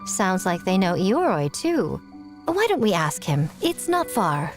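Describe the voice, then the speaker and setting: Game adviser: thoughtful woman, medium pitch, animated intonation, music behind. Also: unhurried. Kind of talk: video game character dialogue.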